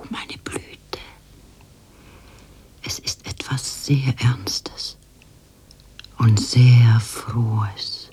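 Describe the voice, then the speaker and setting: An actress playing a worldly older fortune-teller in a radio drama, cooing endearments and making theatrical, oracular pronouncements in a breathy, husky voice. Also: female voice, a bass voice, warm tone, heavily acted. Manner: slow, insinuating, oracular, cloying endearments.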